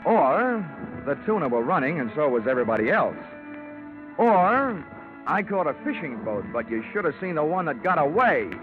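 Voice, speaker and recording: An actor playing a wry, wisecracking freelance insurance investigator in old radio detective drama style, dry and clipped. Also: male voice, on the high side, sing-song, moderate pace. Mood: wry narrating. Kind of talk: 1940s-50s radio detective drama acting and narration. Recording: tinny vintage radio recording, music behind.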